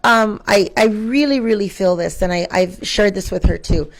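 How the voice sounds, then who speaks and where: A woman with a mid-range voice speaks as an interview guest. She is reflective and expressive, working through her thoughts conversationally and sometimes posing rhetorical questions. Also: mid-range voice, moderate pace.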